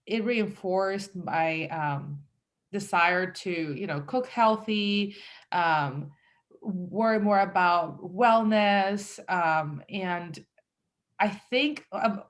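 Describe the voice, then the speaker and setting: Woman from El Salvador, thirties, lowish voice, chatty and relaxed, interview guest. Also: extremely slow. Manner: chatty, with frequent fillers and self-quotes. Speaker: guest entrepreneur. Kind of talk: casual conversational interview.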